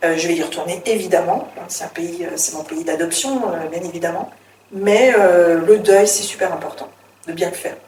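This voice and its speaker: A woman's voice: on the low side, fairly quick, a little mellow. Middle-aged French-born woman, chatty and reflective interview guest; mic a bit far off in an echoey room. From France. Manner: chatty and reflective, with many fillers and restarts. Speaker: author and former teacher, interview guest. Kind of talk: casual interview, telling her life story. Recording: roomy, distant mic with faint noise.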